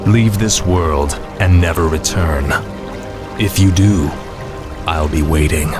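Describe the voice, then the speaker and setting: A man's voice: sits low, takes it slowly. An actor playing a fallen undead death-knight king, giving stern, resolute commands. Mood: determined. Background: orchestral music.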